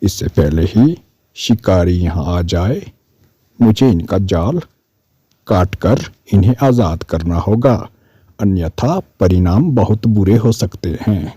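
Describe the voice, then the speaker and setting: A man's voice: sits low, lively intonation, slow pace, warm tone, husky, no room sound, heavily acted. Man doing a kind, brave parrot. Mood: concerned.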